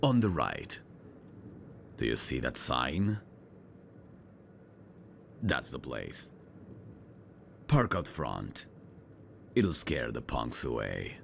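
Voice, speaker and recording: Man playing a very deep, gravelly, cynical veteran detective. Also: big pitch swings, a little hiss or hum, heavily acted. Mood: dry, matter-of-fact. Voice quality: gravelly, husky. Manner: world-weary, sardonic, unhurried drawl. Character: gruff, cynical veteran police detective, tough guy. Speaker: detective mentoring a rookie. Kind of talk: scripted roleplay audio drama.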